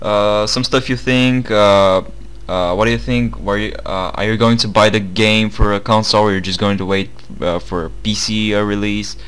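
Bulgarian-accented young man, low smooth voice. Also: fairly even intonation, fairly bright tone. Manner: chatty, halting English with many fillers. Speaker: gaming channel co-host. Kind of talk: casual gaming news commentary.

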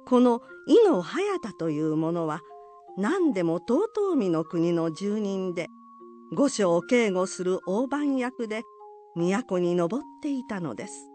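A woman narrates a folk legend in formal, polite language. The voice sits at a middle pitch with animated rises and falls. The pace is slow and measured, with music underneath. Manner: formal, polite, expressive storytelling.

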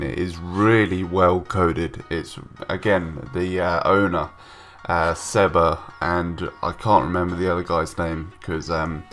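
Young male gaming vlogger, very low voice, stuffed-up from a cold, hesitant rambling, faint music behind. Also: a bit bright. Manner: rambling, hesitant, many ums and restarts. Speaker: gaming YouTuber. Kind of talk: casual voice-over commentary. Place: home room, recorded separately from gameplay.

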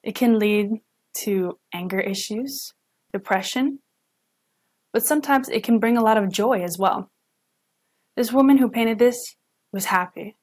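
A young woman, a student interpreter, voicing a signed lecture live at home into a webcam, pausing and correcting herself as she goes. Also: fairly high pitch, darkish tone. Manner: halting, with self-corrections and restarts. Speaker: student sign language interpreter.

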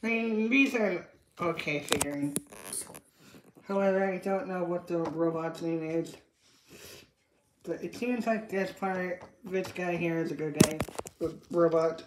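A woman chatting casually and unscripted about toys, in a halting, repetitive way that trails off. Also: fairly low pitch. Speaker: toy reviewer. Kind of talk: casual unscripted toy review chat. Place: indoor room with hard tiled floor. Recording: echoey room, background noise, clicks.

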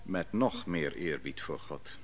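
An actor playing the prophet Daniel in an old radio drama, telling his story in a measured, solemn way. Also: extremely low pitch, animated, full character voice. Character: prophet Daniel, a calm, grave advisor. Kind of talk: 1950s-60s radio drama acting.

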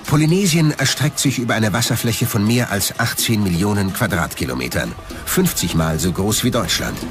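Animated male documentary narrator over music. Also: on the low side, moderate pace. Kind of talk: scripted narration.

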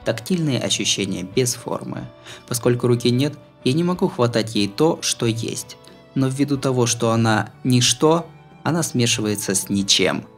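A man's voice: mid-range voice, expressive, normal speed. A man reads a first-person novel in a mid-range voice. His delivery is scripted yet conversational, with a wry edge. Music plays behind him. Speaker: audiobook narrator.

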